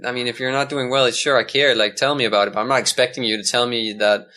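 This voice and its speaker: A man's voice: mid-range voice, steady tone, fast, pushed and bright, a little hiss or hum. A young Danish-born man as a podcast guest, talking in a loose, hesitant way with many restarts. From Denmark. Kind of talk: casual conversation.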